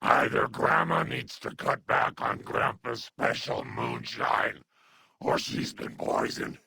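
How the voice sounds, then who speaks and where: A man voicing a doting, scolding, unhinged elderly mutant grandmother in a video game, with a gravelly, husky, breathy voice and cooing pet names. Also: mid-range voice, slow, pushed and bright, dry recording, studio quality, full character voice. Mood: weary, unwell. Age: older. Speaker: video game companion character. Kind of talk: video game character acting.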